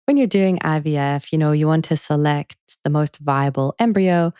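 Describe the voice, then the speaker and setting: A woman writer answering interview questions in an articulate, measured way, turning wry when she tells anecdotes. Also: low-pitched, mellow, clear, resonant tone, no echo, clean studio sound. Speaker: author and journalist guest.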